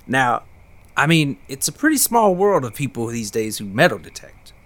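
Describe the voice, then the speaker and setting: An actor playing a chatty, pushy small-town hobbyist in naturalistic, casual drama dialogue. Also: male voice, medium pitch, very animated, normal speed, fairly bright tone. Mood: insistent, friendly.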